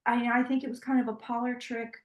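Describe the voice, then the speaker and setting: A woman with a fairly high voice tells stories in an animated, chatty way, often restarting her sentences. She sounds like she is in a roomy, echoey space. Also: quick pace. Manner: animated storytelling with many restarts. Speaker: psychic tarot reader. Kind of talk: interview conversation.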